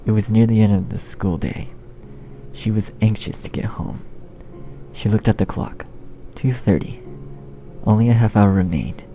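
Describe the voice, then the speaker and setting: A man's voice: fairly low pitch, moderate pace, pauses often, warm tone, a little hiss or hum. A man reading scripted story narration as the narrator. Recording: dull, muffled sound under music. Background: music.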